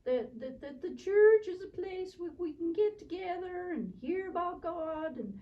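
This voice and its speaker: Lady doing a super high puppet teacher lady, gentle n earnest, slow talker, recorded kinda far off. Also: animated, lo-fi sound. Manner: gentle, earnest, emphatic, simple explaining. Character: kind, enthusiastic female puppet teacher of prayer. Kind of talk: children's church puppet show.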